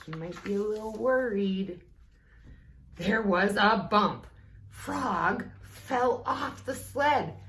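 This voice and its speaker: A woman reading a story aloud to young children in an unhurried, expressive way, with casual questioning asides. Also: medium pitch, a little hiss or hum. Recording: roomy echo.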